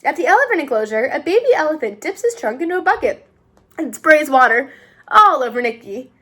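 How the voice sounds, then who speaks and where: A woman reading a children's storybook aloud in an expressive, sing-song way as host of a read-aloud channel. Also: high-pitched, fairly quick. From United States.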